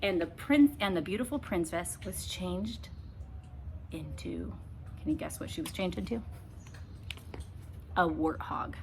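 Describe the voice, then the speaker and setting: A woman reading a children's book aloud expressively, with emphatic phrasing and dramatic pauses. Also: lowish voice, gravelly. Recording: faint background noise.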